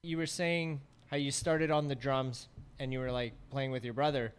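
A man's voice: mid-range voice, little pitch movement, moderate pace. A man hosting a podcast interview, asking easygoing questions and cracking jokes. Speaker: podcast host. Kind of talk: casual interview chat.

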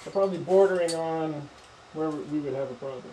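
A man's voice: fairly high pitch, brisk. A man demonstrating spray finishing in his home garage, thinking aloud casually and restarting his sentences. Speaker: home woodworker. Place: home garage paint booth. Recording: hissy spray and compressor noise.

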